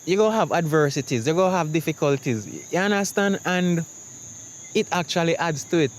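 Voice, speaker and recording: A man's voice: on the high side, lively intonation, moderate pace, slight background noise, poor audio. A man with a Jamaican accent chatting casually to camera as a vlogger, reflective and optimistic in a rambling way. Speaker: YouTube vlogger.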